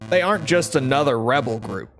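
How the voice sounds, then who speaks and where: A man's voice: mid-range voice, expressive, fairly quick, fairly bright tone, faint music behind. A man putting on a plain Canadian CIA agent. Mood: briefing. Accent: put-on Canadian. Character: bland male CIA agent, basic soldier type.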